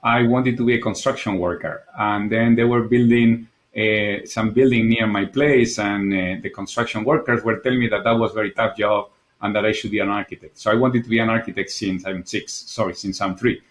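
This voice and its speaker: Low-voiced man with a Spanish accent. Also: fairly even intonation, brisk, hardly any pauses. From Spain. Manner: thoughtful, earnest, repeats words while searching for them. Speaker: guest, architect and designer. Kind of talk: candid podcast interview.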